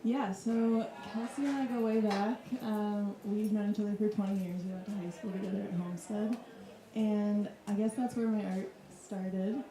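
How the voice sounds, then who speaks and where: A woman's voice: mid-range voice, steady tone, normal speed, hardly any pauses, a crowd in the background. A woman in her thirties from Wisconsin, talking thoughtfully about her art practice in front of an audience. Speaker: artist guest. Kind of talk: casual reflective talk. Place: club room with audience. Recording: echoey room.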